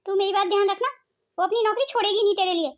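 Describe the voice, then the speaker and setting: A woman's voice: very high-pitched, racing. A woman voicing a bossy, suspicious, meddling older sister in a Hindi cartoon family drama, commanding and insistent.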